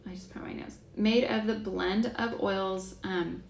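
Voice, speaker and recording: A young woman leads a casual class, chatty and enthusiastic, with plenty of fillers. Her voice has a gravelly fry at the ends of phrases. She is recorded in an echoey room with a faint hiss behind her. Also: medium pitch, fairly even intonation, unhurried. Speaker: essential oils class host.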